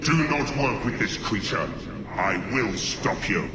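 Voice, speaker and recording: A righteous, commanding spirit, low and echoing, with big swings in pitch. The delivery is grave and formal, in a noisy game recording. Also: male voice, expressive, takes it slowly. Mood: outraged. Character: stern, righteous male spirit of justice. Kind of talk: video game cutscene acting.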